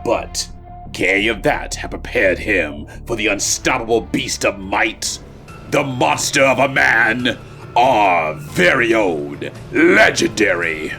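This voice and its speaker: A man's voice: lowish voice, lively intonation, slow pace, vocal fry, bright, forceful tone, soft background music, exaggerated voice. A man playing a brutal, boastful alien tyrant, announcing arena fights with grandiose, theatrical showmanship. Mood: boastful, taunting.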